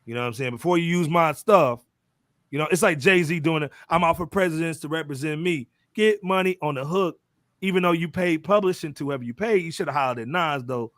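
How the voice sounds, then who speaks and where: A man's voice: fairly high pitch, fairly quick, a bit bright, dry recording, studio quality. An American man hosting a music panel, talking casually in street slang and bantering with his panelists. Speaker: podcast host moderating a music panel.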